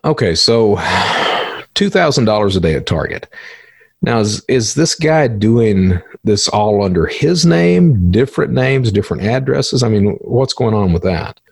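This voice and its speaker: Man, podcast host, casual, blunt, gravelly creaky voice, close dry sound. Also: lowish voice, animated, measured pace, no room sound. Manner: blunt, colloquial, swearing. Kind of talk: casual interview.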